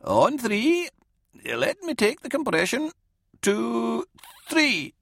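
A capable army medic, voiced by a male narrator, calm and in command. He gives steady instructions in a markedly raised pitch, recorded dry for an audiobook. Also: takes it slowly, vocal fry, no room sound, heavily acted.

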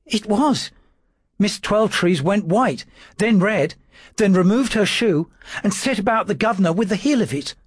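A man voicing a timid, frightened male secretary who stammers in a high, strained voice. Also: animated, normal speed, slightly rough, no echo. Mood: nervous.

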